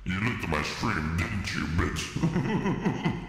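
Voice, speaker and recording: A man's voice: lowish voice, huge pitch swings, drawn-out words, a bit bright, soft background music. A male streamer chatting casually and slangily while gaming with friends. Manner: slangy, says bro and man. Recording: very echoey, reverberant sound.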